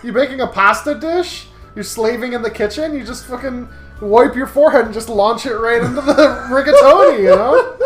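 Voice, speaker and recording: A woman's voice: on the high side, expressive, moderate pace, roomy sound, faint music behind. A woman co-hosting a casual comedy chat, arguing silly points with playful, mock-serious reasoning. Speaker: podcast co-host.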